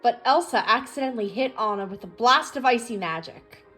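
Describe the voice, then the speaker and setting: Animated, high-voiced woman reading a storybook. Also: a little fast, faint music behind. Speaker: storybook reader. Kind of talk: expressive read-aloud of a children's storybook.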